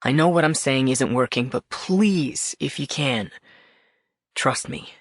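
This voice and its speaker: A woman reading an audiobook, voicing a secretive husband who softly apologizes and pleads to be trusted. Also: a bass voice, big pitch swings, measured pace, breathy edge, no room sound, studio quality, exaggerated voice. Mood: pleading. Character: troubled husband, middle-aged man, pleading and secretive.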